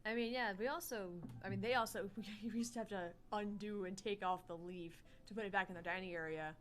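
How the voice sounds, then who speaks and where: A woman's voice: medium pitch, steady tone, a little fast, a bit bright. A young woman co-hosting a gaming livestream in casual, playful chat, swearing now and then. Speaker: livestream co-host.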